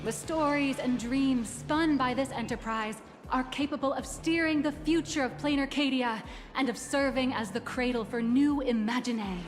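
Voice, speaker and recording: A woman's voice: high-pitched, soft background music, lightly acted. A woman voicing a self-assured, secretive corporate tour guide in a video game, speaking formally and with composure. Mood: poised, pleased. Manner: formal, composed, measured phrasing. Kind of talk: scripted video game character dialogue.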